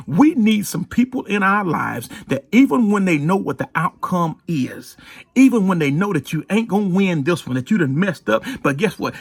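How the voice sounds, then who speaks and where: Guy preaching like hes telling a story, kinda raspy voice, higher pitched, folksy, keeps repeating himself, real dry sound. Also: no room sound. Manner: exhortative, folksy, repeats phrases for emphasis. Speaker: pastor. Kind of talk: informal storytelling sermon.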